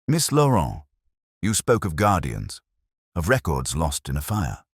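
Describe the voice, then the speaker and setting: A man voicing a shrewd, grave detective in an audiobook, speaking deliberately and pressing his point. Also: deep voice, wildly swooping pitch, breathy edge, no room sound, clean studio sound, full character voice. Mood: grave, probing. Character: shrewd, grave, probing male Victorian detective. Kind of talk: audiobook character dialogue.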